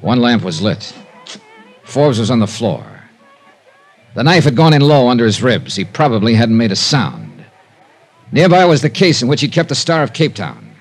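A man's voice: medium pitch, sing-song, normal speed. An American actor playing a wry, hard-boiled male investigator who also narrates, in a 1950s radio drama. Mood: tense narration. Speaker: lead actor and narrator of a detective series. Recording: 1950s radio broadcast with music.

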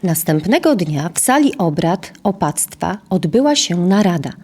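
A woman narrating a scripted audio drama as storyteller, in a measured, literary manner. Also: on the low side, big pitch swings, a little mellow, husky.

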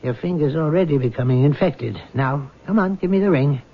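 An actor playing a measured, rational doctor in a 1970s radio drama. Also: male voice, on the high side, lightly acted. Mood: clinical. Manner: measured, clinical. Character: calm rational male doctor, middle-aged, firm. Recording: dull old radio broadcast with faint hiss.

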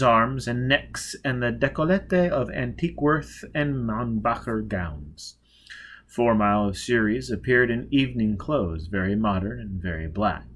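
Husky, animated man reading a novel. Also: mid-range voice. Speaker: reader, narrator. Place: indoors, before a dark curtain.

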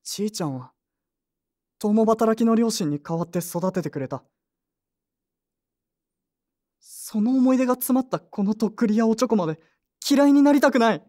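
A woman's voice: on the high side, a little fast, warm tone, lightly acted. A woman playing an earnest, sentimental young man who grieves and clings to memories, polite and emotional, in an audio drama. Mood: sorrowful. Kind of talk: Japanese audio drama acting.

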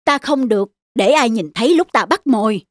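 An actor voicing a sly, greedy wildcat who smooth-talks prey in an animated fable. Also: medium pitch, moderate pace, no echo, clean studio sound, close to an everyday voice. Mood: coaxing. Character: sly, greedy wildcat predator posing as helper. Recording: children's music behind the voice.

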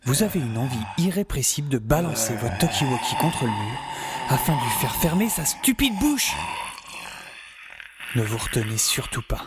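A young man narrating an audio drama in the first person as an anxious, wry fugitive, confiding and sometimes breathy. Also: mid-range voice, very animated, normal speed, very noisy. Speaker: narrator-protagonist. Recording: loud music under the voice. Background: music.

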